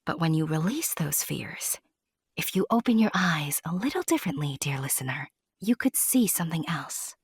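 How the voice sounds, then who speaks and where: Low, husky woman narrating a story. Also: animated, moderate pace, no room sound. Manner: wry, playful, addresses the listener.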